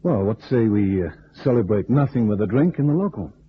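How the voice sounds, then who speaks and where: Low, husky American test pilot. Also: male voice, expressive, brisk. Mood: teasing, flirtatious. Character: wisecracking, cool American test pilot and adventurer. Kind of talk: old-time radio detective drama acting. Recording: muffled, narrow old radio recording.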